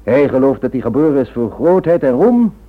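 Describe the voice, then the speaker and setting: A man playing a smug, cynical criminal schemer in a radio play, suave and mock-polite with quiet menace. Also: mid-range voice, sing-song, a little fast. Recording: thin narrow-band old radio drama recording.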